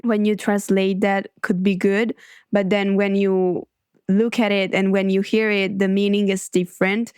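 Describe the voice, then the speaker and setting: Young woman guest, Italian first language, earnest and even, smooth voice, little pitch movement. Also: no room sound, clean studio sound. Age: 20s. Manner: measured, earnest. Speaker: guest poet.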